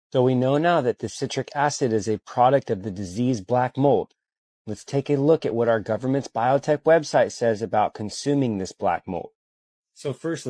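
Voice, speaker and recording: Mellow-voiced man warning viewers. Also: medium pitch, no room sound. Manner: assertive, warning. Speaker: social media creator. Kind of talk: commentary.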